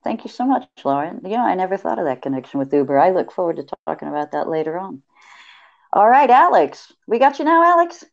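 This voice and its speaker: A woman moderating an online panel over a video call, lively and upbeat as she hands over to each speaker. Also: on the low side, gravelly. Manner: lively, upbeat, quick hand-offs. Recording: dull video call audio.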